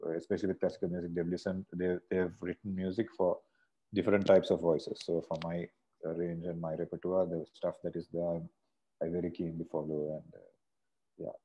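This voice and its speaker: A man's voice: low voice, flat delivery, brisk, mellow, husky, rough recording. A man from Sri Lanka, a singer, talking as a guest over a video call in a casual, rambling way with many restarts. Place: bright room indoors. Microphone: earphone mic on a video call.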